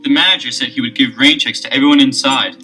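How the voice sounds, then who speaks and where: An actor playing a curious, easygoing young amateur detective in a 1970s record-album drama. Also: male voice, medium pitch, fast talker, sharp tone, faint music behind, close to an everyday voice.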